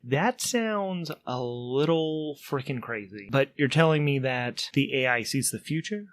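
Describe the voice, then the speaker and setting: A man's voice: medium pitch, expressive, unhurried, no echo, close to an everyday voice. A man voicing a blunt, informal spaceship pilot in a tabletop story, incredulous at what he hears. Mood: baffled, skeptical. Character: Zhanya, blunt, baffled male spaceship pilot.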